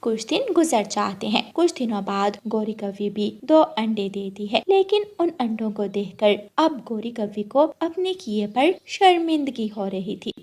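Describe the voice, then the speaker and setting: A woman narrator reading a scripted children's animated story. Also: a little high, a little slow, hardly any pauses.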